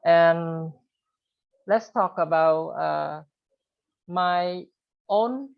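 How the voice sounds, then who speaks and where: Slow hesitant man. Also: on the high side, creaky, smooth. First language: Khmer. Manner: hesitant, many pauses and fillers. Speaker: speaker at an English learners' group. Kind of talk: informal talk to an online group. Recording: dull lo-fi recording.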